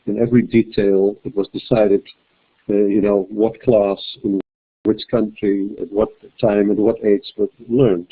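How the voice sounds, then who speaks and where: A man speaking as a webinar panelist in a measured way, often restarting his sentences. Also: fairly low pitch. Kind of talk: webinar discussion. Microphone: computer mic on a web call. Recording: tinny phone-like web call audio.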